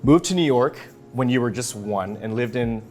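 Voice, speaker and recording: A man's voice: mid-range voice, lively intonation, moderate pace, darkish tone, faint music behind. A man hosting a casual interview show, talking with an upbeat, enthusiastic manner in a cafe after hours. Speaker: host.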